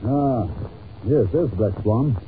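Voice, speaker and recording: A man playing a brisk, commanding gentleman detective in an old-time radio drama, questioning with authority, on a muffled, noisy recording. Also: mid-range voice, natural voice. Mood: reassuring.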